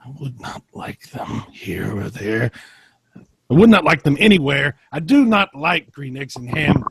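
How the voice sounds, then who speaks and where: A man's voice: mid-range voice, sing-song, unhurried, vocal fry, a little hiss or hum, voice pushed up. A man voicing an anguished boy who defiantly resists a vampire's spell, melodramatic and emphatic, in a comic stage reading.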